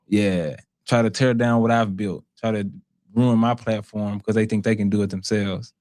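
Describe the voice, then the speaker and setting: A man's voice: fairly low pitch, steady tone, normal speed, darkish tone, no echo. A man with an American accent talking earnestly and slangily as a guest in a casual interview. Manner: earnest, slangy, frequently says bro. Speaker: interview guest, content creator.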